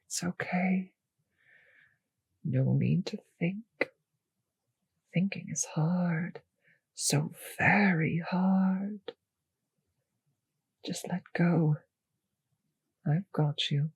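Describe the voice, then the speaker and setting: Breathy, seductive vampire mistress, acted by a woman. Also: on the low side, no room sound, full character voice. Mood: soothing, hypnotic. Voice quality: breathy, often slipping into whispers. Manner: slow coaxing, teasing, commanding. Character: seductive, possessive female vampire mistress with hypnotic hold. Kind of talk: intimate ASMR audio roleplay. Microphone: close microphone, dry.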